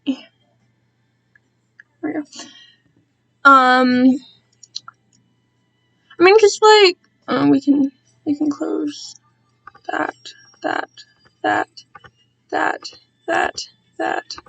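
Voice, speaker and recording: A fairly high-voiced woman talks at an unhurried pace, as if live to her viewers. She rambles casually, gets sidetracked and puts herself down. The sound is dry, with little room around the voice. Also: vocal fry, a bit bright, dry recording, faint music behind, poor audio. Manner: rambling, self-deprecating, easily distracted. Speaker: streamer reading own fan-written work to viewers. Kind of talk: casual live-stream chat.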